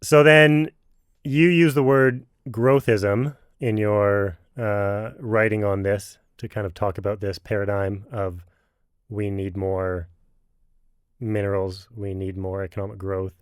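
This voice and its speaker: A man hosting a podcast interview, talking casually and haltingly, with lots of restarts. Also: fairly low pitch, steady tone, smooth, no room sound, studio quality. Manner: halting, many fillers and restarts. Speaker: podcast host.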